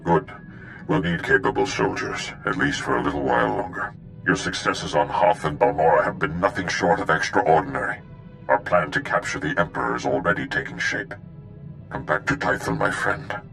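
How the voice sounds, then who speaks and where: An actor playing a grave, authoritative mentor master in a video game, with a gravelly, stately delivery. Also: male voice, rumbling low, expressive, normal speed, a bit bright, soft background music, heavily acted, poor audio. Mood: solemn, pleased.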